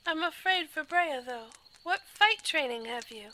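A woman's voice: sits high, expressive, measured pace, pushed and bright, slight background noise, full character voice, low-quality recording. A woman playing a worried, earnest young noblewoman in an audio drama, speaking anxiously.

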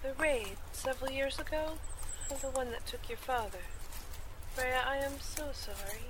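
An actress playing a gentle, wistful young highborn lady in an audio drama. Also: moderate pace, background noise, natural voice. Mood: sorry.

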